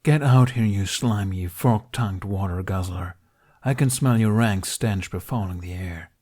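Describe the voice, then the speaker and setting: A man's voice: fairly low pitch, measured pace, darkish tone. A man playing a grumpy, obstinate dragon in an audiobook, curt and scornful in manner. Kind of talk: audiobook character voice.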